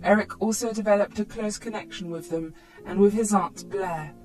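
A woman's voice: mid-range voice, moderate pace, a little mellow, soft background music, low-quality recording. A woman reading a scripted first-person story as narrator.

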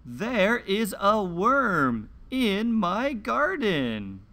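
A man presents a read-along lesson for young children. His delivery is very slow and deliberate, with sing-song emphasis and lively swings in pitch. The tone is bright and forward, and each word is carefully enunciated. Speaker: teacher hosting a children's read-along show.

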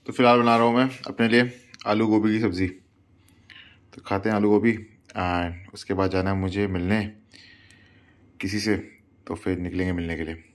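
A man's voice: low-pitched, steady tone, normal speed, pauses often. A male travel vlogger chatting casually and playfully to his viewers, mixing English words into his talk.

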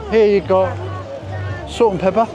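Cockney vlogger, high voice, rambling street-tour patter over crowd chatter, camera mic. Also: male voice, steady tone, measured pace, music in the background. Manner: chatty, thinking aloud as he strolls. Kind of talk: walking tour commentary. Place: outdoor resort streets. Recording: busy street crowd behind.

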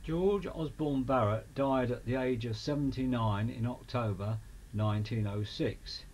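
A man with an English accent reading a scripted local history documentary in a measured manner. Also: mid-range voice, a little mellow. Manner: measured, listing names and dates. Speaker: narrator of a local history film. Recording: clearly noisy amateur voice-over recording.

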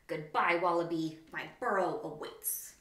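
A woman's voice: on the low side, unhurried, fairly bright tone, slight background noise. A woman voicing a grumpy, round male wombat, a curt and huffy loner in a children's storybook. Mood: grumpy, annoyed. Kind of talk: children's storybook read-aloud. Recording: roomy, echoing indoor room.

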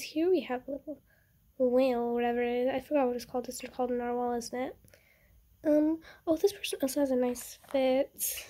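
A young woman with a high voice chats her way through a game, rambling and easily excited. Her tone is soft and mellow, and a cold leaves her nose a little stuffy. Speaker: gaming channel host. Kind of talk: casual game commentary.

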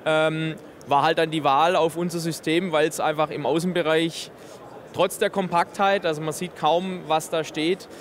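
A man's voice: a little high, fairly even intonation, moderate pace. A man in his thirties giving an enthusiastic, technical product explanation in an interview. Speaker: exhibitor presenting a loudspeaker system. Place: busy trade fair booth in a large hall. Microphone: handheld interview microphone held by interviewer.

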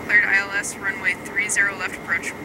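A man's voice: high voice, completely flat delivery, brisk. A man as an air traffic controller giving clipped, businesslike procedural radio instructions. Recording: radio call under loud aircraft noise.

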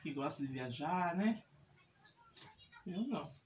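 A woman's voice: low voice, expressive, slow. A middle-aged Brazilian woman chatting casually and ramblingly as she does chores, often checking in with the listener. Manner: rambling, repetitive, often ends with a checking tag. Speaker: home vlogger, mother. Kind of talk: casual chat while doing chores. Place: small room at home, indoors. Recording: clear household noise, handling clicks.

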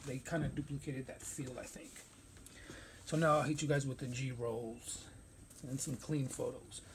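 A man of ordinary pitch riffs casually on a pair of sneakers, full of slang and loose asides. He sounds close to a handheld phone in a cluttered storage room. A faint hiss sits under the voice. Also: little pitch movement, normal speed. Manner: loose, rambling slang-heavy talk. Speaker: sneaker reviewer. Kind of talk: casual unboxing vlog. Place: cluttered room stacked with shoe boxes.

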